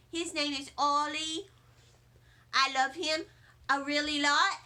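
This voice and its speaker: Lady reading a kids book out loud, high bright voice, takes her time, expressive. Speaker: storybook reader, teacher. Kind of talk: expressive children's read-aloud narration.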